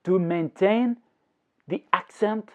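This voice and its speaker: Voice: medium pitch, slow pace. A language tutor giving a video lesson, explaining didactically, repeating points, checking in often and dropping words into English. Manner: checks in with "okay?" and "you know". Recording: thin, narrow phone-like sound.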